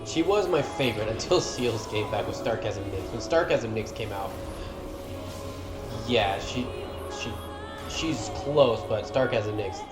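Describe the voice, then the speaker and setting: A man's voice: on the low side, unhurried. A man giving casual, enthusiastic fan commentary over game cutscenes in an informal way. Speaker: gaming video creator. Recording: roomy echo, music playing behind. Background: game cutscene music.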